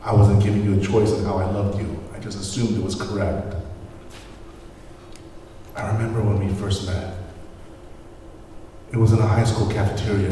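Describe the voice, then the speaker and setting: A man's voice: on the low side, dark tone, a little hiss or hum, close to an everyday voice. A man playing a devoted, regretful husband at a screenplay table read, earnest and reflective, recorded from a distance in an echoey room. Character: devoted, regretful husband confessing to his wife in counseling.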